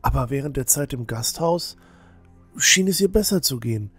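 A man's voice: medium pitch, lively intonation, normal speed, a bit bright, husky, soft background music. A man playing an uneasy, awkward teenage temple boy in a fantasy audio drama, hesitant and evasive. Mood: nervous, evasive.

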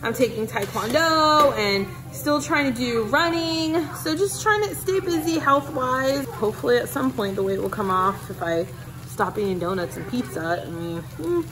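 A woman's voice: a little high, a little slow, background noise. Chatty woman vlogging while she cooks. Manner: chatty, upbeat, talks while cooking. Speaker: family vlogger. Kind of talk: casual cook-with-me vlog. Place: home kitchen, indoors. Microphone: handheld phone camera at arm's length.

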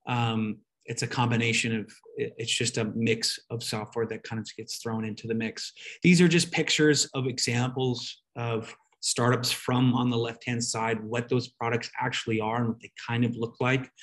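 Male online presenter, mid-pitched, conversational explainer delivery for a slide webinar on a video call.

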